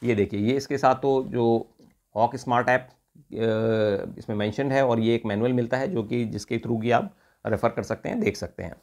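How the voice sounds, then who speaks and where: A man's voice: mid-range voice, normal speed, a little mellow. A man with an Indian accent giving an unscripted product demonstration, explaining step by step and often rephrasing in mixed Hindi and English. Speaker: product reviewer.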